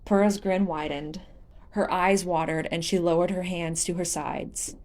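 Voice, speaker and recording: A woman's voice: fairly low pitch, steady tone, a little slow, a little mellow. A woman reading a story aloud as scripted audiobook narration. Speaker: audiobook narrator of fan fiction.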